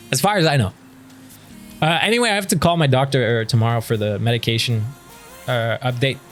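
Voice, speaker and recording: A man's voice: mid-range voice, normal speed, long pauses, soft background music. A man chatting casually with his live-stream viewers before starting a game, reading their messages aloud and answering them.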